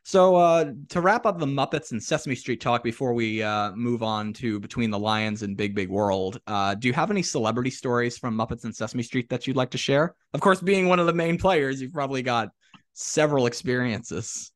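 A man's voice: medium pitch, normal speed. A man hosting a podcast, asking long, winding questions in an eager, casual way. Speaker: podcast host. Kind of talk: casual interview chat.

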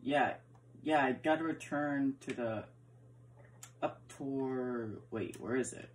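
Very low-voiced woman, stammering game chatter. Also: slow, slight background noise, rough recording. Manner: stammering, repeats words often. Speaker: gamer role-playing in a block-building game. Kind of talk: casual role-play chat while playing. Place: indoor room, filming a TV screen.

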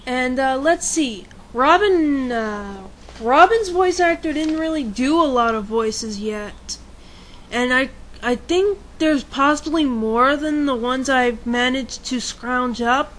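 A woman casually narrating a fan announcement in a halting, hesitant, self-deprecating way, often trailing off. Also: sits high, slight background noise. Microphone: home computer microphone.

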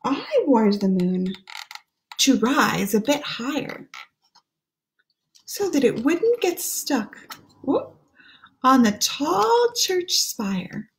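Slow, high-pitched woman reading a picture book. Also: sing-song, slight background noise, poor audio. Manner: gentle, explaining pictures between read lines. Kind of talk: children's picture book read-aloud. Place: small room at home.

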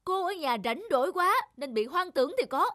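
A woman's voice: very high-pitched, lively intonation, normal speed, sharp tone, heavily acted. A woman voicing a defensive, blustering young husband in a cartoon, indignant and dismissive.

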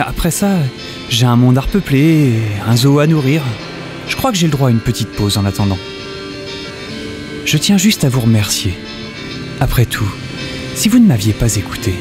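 Lively young hero, acted by a man. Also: medium pitch, close to an everyday voice. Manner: conversational, addresses listeners directly. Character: upbeat young male hero telling his own adventure. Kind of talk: first-person audio drama narration, casual and chatty.

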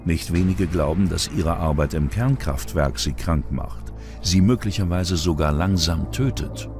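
A man's voice: low-pitched, a little slow, music in the background. A man narrating a scripted true-crime documentary in a dramatic, suspenseful way.

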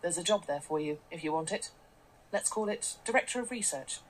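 A woman acts out a patronising female business boss in a radio play. Her voice is on the low side and her delivery is cool and measured, with faint noise on the recording. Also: expressive, close to an everyday voice, poor audio. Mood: patronising, persuasive. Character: Tanya, smooth-talking, condescending female tech boss. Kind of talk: radio drama acting. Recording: faint noise, played back through a speaker.

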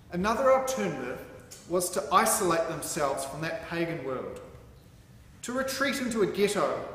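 A man reads a sermon aloud from a script in a measured, declamatory way at a lectern. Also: high voice. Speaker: sermon reader at a church. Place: large hall, at a lectern in front of a stage. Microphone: microphone on a stand at the lectern, camera a few metres off. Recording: very echoey hall, faint background noise.

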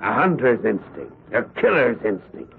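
A man's voice: fairly low pitch, very animated, gravelly, a little hiss or hum. An older man playing an elderly, cold and boastful Nazi war criminal in hiding, in 1970s radio drama style. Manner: halting and courteous, turning menacing and grandiose. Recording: muffled vintage radio broadcast.

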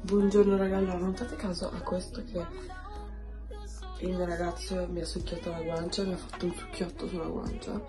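A young woman talks casually into a phone camera held at arm's length inside her apartment. She chats to her viewers as she goes about her day. Music plays clearly underneath her voice. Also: lowish voice, fairly even intonation, normal speed. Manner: chatty, addresses viewers as "raga". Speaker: lifestyle vlogger. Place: apartment, indoors. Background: background music.

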